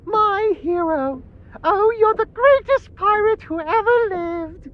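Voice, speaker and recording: A woman's voice: very high voice, lively intonation, slow pace, muffled recording, heavily acted. A woman voicing a doting, sweetly coaxing pirate bride in a video game cutscene. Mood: adoring.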